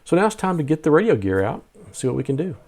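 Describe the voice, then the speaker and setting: A man's voice: a little high, big pitch swings, very fast, darkish tone. A man narrating a casual hobby vlog, explaining things step by step in a plain-spoken way. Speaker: amateur radio video host.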